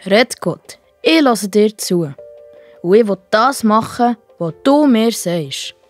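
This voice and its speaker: Eager, slow-speaking young boy. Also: medium pitch, expressive, faint music behind. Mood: obedient, earnest. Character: young boy Samuel, eager, obedient, puzzled. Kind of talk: children's Bible audio play acting.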